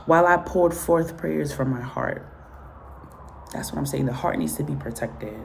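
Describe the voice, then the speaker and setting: A low-pitched woman, raised in Guatemala and Honduras with Spanish as her first language, talks through a spiritual reading in a blunt, cursing, repetitive way. A faint hiss sits under her voice. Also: moderate pace, creaky. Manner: blunt, profane, emphatic and repetitive. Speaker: tarot and spiritual reader. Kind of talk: casual spoken reading with commentary.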